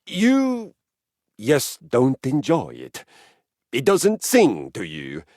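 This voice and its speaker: A man's voice: medium pitch, wildly swooping pitch, very slow, darkish tone, dry recording, studio quality, exaggerated voice. An actor voicing a boisterous, violence-loving male exercise machine with a Viking spirit, in grand, archaic, theatrical speech. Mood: grandiose. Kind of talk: game voice lines. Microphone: close studio mic.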